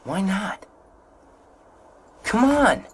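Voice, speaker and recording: A woman's voice: mid-range voice, sing-song, very slow, slightly rough, exaggerated voice. A woman voicing an earnest, loyal and impulsive teenage boy in a video game, with urgent, pleading delivery.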